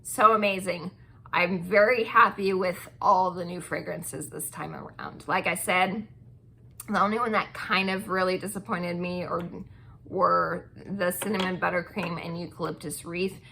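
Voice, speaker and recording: Slow, gravelly-voiced woman reviewing fragrances. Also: fairly low pitch, steady tone, sharp tone, slight background noise. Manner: chatty, gushing, many ums. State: losing her voice. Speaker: channel host reviewing wax melts. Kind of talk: casual product review.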